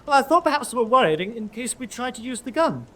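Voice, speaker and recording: An actor playing a nervous, cowed U-boat first officer in a radio drama, stammering and hesitant. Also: male voice, very high voice, sing-song, fast talker, fairly bright tone, heavily acted. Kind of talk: radio drama courtroom acting.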